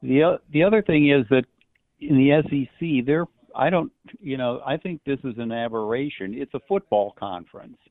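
A man calling a sports show by telephone, offering casual opinions in hesitant, halting phrases over a tinny line. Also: medium pitch, dry recording. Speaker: radio call-in listener. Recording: tinny dry phone line.

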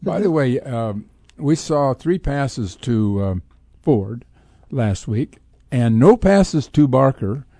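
A man's voice: mid-range voice, big pitch swings, takes it slowly, warm tone, dry recording. An older American man co-hosting sports radio with casual football commentary. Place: radio studio.

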